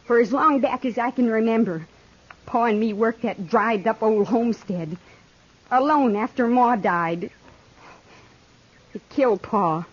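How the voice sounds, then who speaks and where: Husky, high-voiced headstrong frontier girl. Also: a little slow, pauses often. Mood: defiant. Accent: American. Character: headstrong, defiant young frontier woman in love. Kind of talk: 1950s radio western drama acting. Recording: dull, muffled 1950s radio recording.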